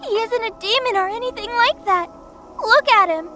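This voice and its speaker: A woman's voice: very high-pitched, normal speed, music in the background. An actress playing a frantic young mother in an audio drama, pleading urgently and breathlessly. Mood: desperate pleading.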